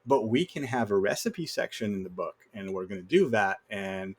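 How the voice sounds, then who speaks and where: A man's voice: fairly low pitch, lively intonation, moderate pace, breathy edge. A man speaking as a podcast guest, explaining things in a casual conversational way.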